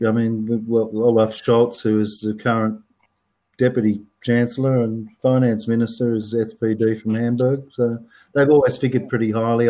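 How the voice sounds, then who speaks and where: Man, sixties, Australian accent, low voice, mellow tone, frank, restarts phrases. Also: rough recording. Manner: frank, repeats words often while thinking. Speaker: guest. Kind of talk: casual interview chat.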